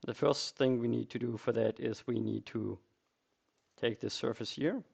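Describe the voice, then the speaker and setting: A man presents a screen tutorial in a medium-pitched voice. He explains each step methodically, as an instructor guiding someone through a piece of software. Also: fairly even intonation, moderate pace, gravelly, husky.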